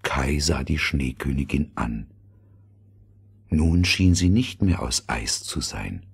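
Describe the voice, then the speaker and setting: A man narrating a scripted fairy tale in a dramatic, deliberate storytelling manner. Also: rumbling low, lively intonation, creaky, husky.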